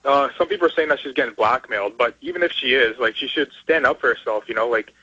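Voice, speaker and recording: A man's voice: mid-range voice, fast talker, pushed and bright, slightly rough, no room sound. A man from Canada calling a radio show over a dull phone line, chatting casually about his opinions. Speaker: phone-in caller. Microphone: telephone.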